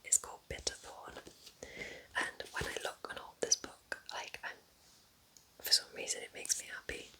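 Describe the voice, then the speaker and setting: A woman whispers breathily in a gentle, chatty ASMR roleplay as a kind witch at home in her cabin. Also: normal speed, long pauses, low-quality recording. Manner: gentle, chatty, informal asides.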